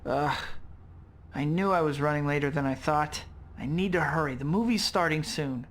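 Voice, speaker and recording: A man's voice: on the high side, normal speed, lightly acted. An actor playing a young male superhero in a video game, earnest and self-doubting, with a wry, quippy manner. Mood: hurried. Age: young adult. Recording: loud music behind.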